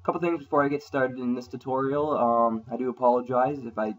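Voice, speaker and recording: A man's voice: mid-range voice, fairly even intonation, fast talker, highs cut off. Casual man hosting a coding tutorial. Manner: casual, thinking aloud with many ums. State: slightly sore throat. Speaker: programming tutorial host. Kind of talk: screen-recorded coding tutorial. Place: at a computer, voice-over on screen capture.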